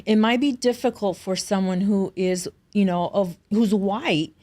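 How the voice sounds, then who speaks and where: A woman's voice: medium pitch, measured pace, dark tone. A woman hosting a health podcast, a doctor, speaking emphatically and addressing her listeners directly. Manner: emphatic, affirming, speaks to the audience. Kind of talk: conversational interview.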